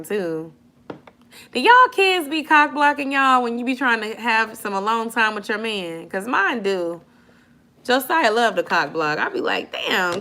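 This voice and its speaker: A woman in her late twenties chatting casually with viewers from home, answering comments and laughing a lot. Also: medium pitch. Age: 20s. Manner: animated, laughing often, slangy. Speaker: vlogger chatting with live viewers. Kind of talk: casual live chat, reading comments.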